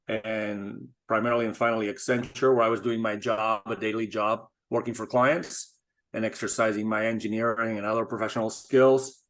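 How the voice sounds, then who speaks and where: A middle-aged man from Spain, a guest in an interview, talking in a measured, thoughtful way with frequent restarts. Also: on the low side, fairly bright tone. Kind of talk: casual interview talk.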